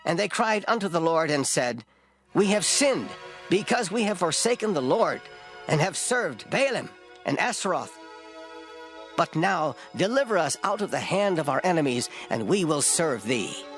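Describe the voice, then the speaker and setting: An actor playing an old, gray-headed prophet, solemn, stern and declamatory, in a dramatized scripture reading. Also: male voice, on the high side, big pitch swings, normal speed, a bit bright, faint music behind. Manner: declamatory, grave.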